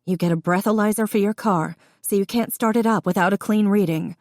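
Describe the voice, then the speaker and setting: A woman's voice: medium pitch, fairly quick, a little mellow, no room sound, clean studio sound, pitched above the performer's own voice, natural voice. A woman voicing a caring but firm grown daughter in an audiobook, plain-spoken. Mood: firm, warning. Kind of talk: audiobook character dialogue.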